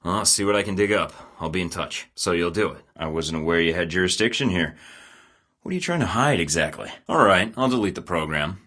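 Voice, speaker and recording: Cocky game spy: deep, bright-edged voice, dry sarcasm. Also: male voice, heavily acted. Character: wisecracking young male secret agent, cocky. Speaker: video game protagonist. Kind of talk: game voice lines.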